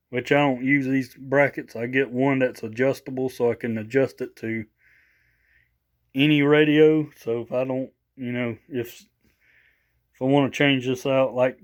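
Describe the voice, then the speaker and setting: A slightly tired man, rambling casually in a folksy, unscripted show-and-tell for a vlog. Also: mid-range voice. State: a little tired after work. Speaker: vlogger showing off a new CB radio.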